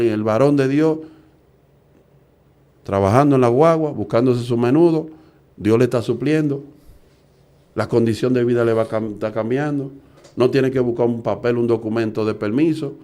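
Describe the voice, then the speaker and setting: A man's voice: medium pitch, moderate pace, long pauses, dark tone. A middle-aged man, preaching earnestly and asking rhetorical questions. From Dominican Republic. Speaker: evangelist preacher. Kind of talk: preaching talk.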